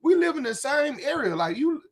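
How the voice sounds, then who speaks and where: A man's voice: very high voice, very animated, moderate pace, vocal fry. A man in his late thirties with a Memphis accent, ranting bluntly and profanely in a casual, repetitive way outdoors. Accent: Southern US, Memphis. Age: 30s. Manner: blunt, profane, repetitive, frequent "you know what I'm saying". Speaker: YouTube commentator. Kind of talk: casual rant. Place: outdoors, windy.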